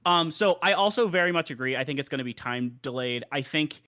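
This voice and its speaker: A man's voice: a little high, animated, a little fast, sharp tone. A man giving casual, opinionated takes on games in an emphatic way as a show panelist. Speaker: panelist on a gaming talk show.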